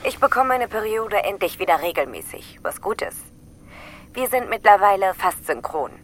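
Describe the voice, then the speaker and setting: An actress plays a female astronaut dictating her daily log. She reports plainly and calmly. A faint hum sits under her voice. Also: mid-range voice, moderate pace, close to an everyday voice.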